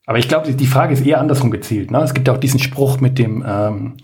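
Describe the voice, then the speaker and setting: A man's voice: medium pitch, fast talker, darkish tone. A man in his late forties, a guest coach on a podcast, talking casually with lots of fillers, restarts and self-mocking jokes. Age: 40s.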